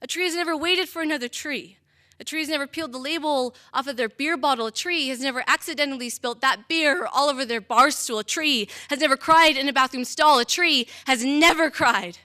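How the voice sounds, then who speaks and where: A young woman with a high, bright voice performs slam poetry. She speaks in a dramatic, deliberate way, setting phrases apart with measured pauses. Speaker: slam poet. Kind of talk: spoken-word poetry performance.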